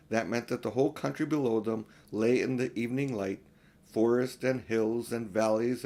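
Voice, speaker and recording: A man's voice: mid-range voice, darkish tone. An older man reading a children's storybook aloud in an informal, unpolished way. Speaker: audiobook reader.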